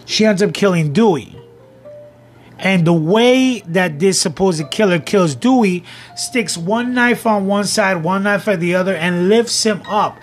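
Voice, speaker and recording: A man's voice: sits high, unhurried, faint music behind. A man hosting a casual film commentary, partly reading from notes, in a rambling way with repeated words and phrases. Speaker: host of a film commentary show.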